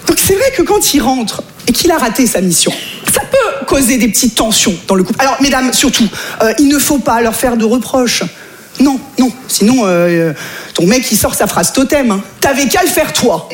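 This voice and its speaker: A woman's voice: a little high, expressive, normal speed, echoey room, a little hiss or hum. A woman performing a stand-up comedy routine, talking directly to the audience in a chatty way. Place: comedy stage before a live audience.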